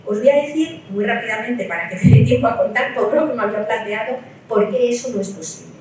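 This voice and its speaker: A woman's voice: fairly high pitch, fast, background noise. A woman from Spain giving a public lecture at a political meeting. She explains her points step by step and often checks in with the audience through short rhetorical tags. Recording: echoey hall, mic at a distance.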